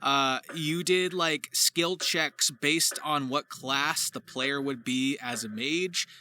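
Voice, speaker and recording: Forceful, bright-voiced man, effusive and wordy. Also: a little high, slow. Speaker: voice actor and writer discussing his work. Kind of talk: casual question-and-answer behind-the-scenes commentary.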